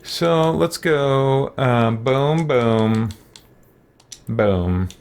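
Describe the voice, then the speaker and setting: A man's voice: mid-range voice, very slow, a little mellow, clear, resonant tone. A man hosting a let's play, thinking aloud casually and playfully as he works through a game.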